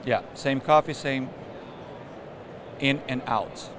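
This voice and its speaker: Man explaining coffee on a noisy expo floor. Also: mid-range voice. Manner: quick, instructive, pointing things out. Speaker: coffee expert demonstrating. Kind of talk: casual explanation at a trade show. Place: large indoor expo hall. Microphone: camera mic from a few feet away. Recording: noisy trade show floor.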